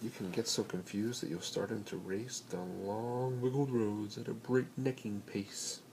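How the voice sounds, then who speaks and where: A man reading a children's picture book aloud in an expressive, playful, rhythmic way with dramatic pauses. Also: fairly low pitch, creaky. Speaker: bedtime story reader. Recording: noisy amateur home recording.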